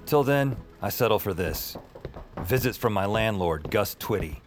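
An actor playing a sleepless, lonely night worker, narrating an audio drama in a wry, self-deprecating, conversational way. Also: male voice, fairly low pitch, lively intonation, a little slow. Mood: wry weariness. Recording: music behind voice.

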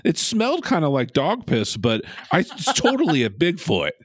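A man's voice: fairly high pitch, normal speed, no echo, studio quality. A man in his thirties co-hosting a podcast, joking sarcastically in casual recap chat from a makeshift home recording space. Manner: sarcastic, joking, swearing, lively swoops into silly voices. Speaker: podcast co-host.

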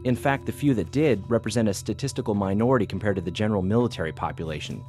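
A man's voice: fairly low pitch, quick pace, a little mellow, soft background music, lightly acted. An actor playing an eager, deferential intelligence analyst giving a thorough briefing to his superior in an audio drama. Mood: matter-of-fact. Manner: earnest, thorough, formal briefing delivery.

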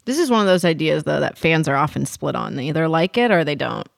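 A woman's voice: deep voice, animated, fast, creaky, no echo, clean studio sound. A woman co-hosting a casual podcast discussion. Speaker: podcast co-host.

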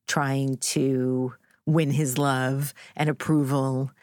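Very low-pitched, candid middle-aged woman. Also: a little mellow, no echo, clean studio sound. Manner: candid, deliberate, introspective. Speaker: interview guest, podcast interviewer by trade. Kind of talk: personal, reflective storytelling in an interview.

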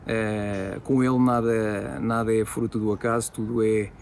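A man, an assistant coach giving a formal recorded tribute with hesitant pauses. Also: fairly low pitch, little pitch movement, vocal fry, darkish tone, a little hiss or hum.

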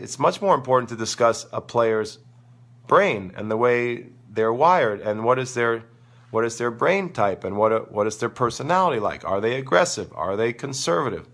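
A man's voice: mid-range voice, a bit bright. Crackly-voiced man, casual tennis coach. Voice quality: crackly, gravelly. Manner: emphatic, opinionated, informal. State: losing his voice, sore throat. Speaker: tennis coach hosting a live online show. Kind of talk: casual live-stream talk, answering viewer questions.

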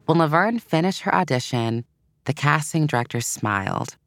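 A narrator reading a scripted children's biography in an expressive, measured storytelling way. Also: medium pitch, gravelly, no room sound. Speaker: podcast narrator. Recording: soft music bed behind voice. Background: background music.

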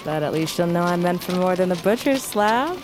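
Fierce low-voiced young huntress played by an actress. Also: female voice, expressive, fairly quick, noisy background, natural voice. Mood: wary. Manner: archaic formal phrasing, intense. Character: fierce, embittered, defiant young huntress princess, the butcher girl. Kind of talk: cinematic fantasy audio drama acting.

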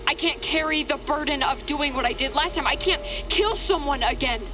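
A woman's voice: high voice, normal speed, music in the background, close to an everyday voice. A woman playing a troubled, defiant teenage girl in an audio drama, pleading and agitated.

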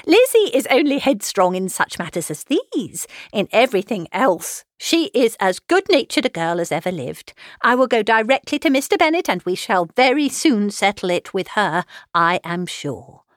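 A woman narrator acts out an agitated, scheming mother of middle age from a classic novel. Her voice leaps high and shrill, fussing and insisting in exclamations. The sound is close and dry. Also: big pitch swings, normal speed, few pauses, no room sound, natural voice. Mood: alarmed, placating. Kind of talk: audiobook character voice, classic novel.

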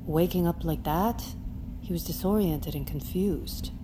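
Actress as serious female doctor, firm, fairly low pitch, audio fiction, noisy vehicle ambience. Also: a little slow, close to an everyday voice. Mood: grave, resolute. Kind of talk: audio fiction drama acting. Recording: clear background noise of vehicle ambience.

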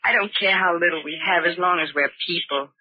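An actress playing an earnest, loving, long-suffering young wife, tender but firm and pleading in an old radio drama. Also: female voice, lowish voice, a little fast, slightly rough. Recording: muffled old radio broadcast recording.

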